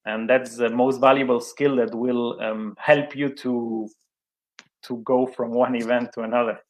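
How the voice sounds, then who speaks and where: A man's voice: mid-range voice, level tone, unhurried. A young man speaking English as a second language, a guest in an interview, talking with many fillers and restarts. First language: not English. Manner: hesitant, many fillers and restarts, laughs at himself. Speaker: interview guest, sports event manager. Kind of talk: conversational interview.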